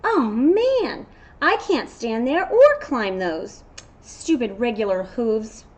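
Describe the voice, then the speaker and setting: A woman's voice: a little high, sing-song, takes it slowly, full character voice. A woman voicing a brash, boastful goat from a children's picture book, exclaiming in a playful, swaggering way. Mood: envious, amazed. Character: brash, boastful goat who envies a unicorn.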